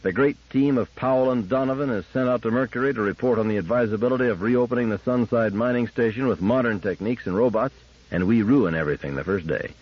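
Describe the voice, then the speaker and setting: A man's voice: mid-range voice, quick pace, breathy edge, no room sound, highs cut off, pitched above the performer's own voice, lightly acted. A man voicing an agitated, bitter male field engineer in a story reading, with exasperated, emphatic delivery.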